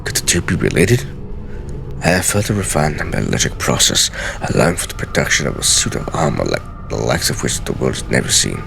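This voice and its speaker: Brooding scholar of game journals, acted by a man: grave and theatrical, rock-bottom pitch, swooping intonation. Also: sing-song, creaky, noisy background, heavily acted. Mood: grave, wondering. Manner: grave, measured, theatrical delivery. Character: solemn, brooding scholar writing secret journal pages. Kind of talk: dramatic reading of game lore.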